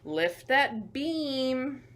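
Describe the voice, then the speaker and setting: A woman hosting a children's storytime, reading picture books aloud with emphatic delivery and hushed asides. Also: high-pitched, sing-song, drawn-out words. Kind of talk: picture book read-aloud for young children.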